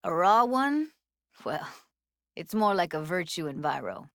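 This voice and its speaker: A woman's voice: lowish voice, very animated, measured pace, no room sound, studio quality. An actress playing a tough, candid young woman technician in a video game, talking in a casual, slangy, blunt way. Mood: businesslike, casual. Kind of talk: naturalistic game voice acting.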